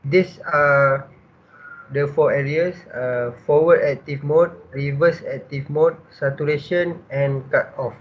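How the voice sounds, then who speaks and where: A man's voice: on the high side, fairly even intonation, slow. A young man with a Malaysian accent giving a scripted student presentation. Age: young adult. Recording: dull lo-fi audio, faint noise.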